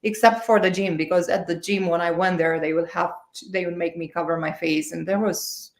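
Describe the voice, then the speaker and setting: A woman from Romania with a Romanian accent, answering interview questions with a warm, halting manner and many restarts. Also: on the low side, fairly even intonation, creaky, echoey room. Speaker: guest author.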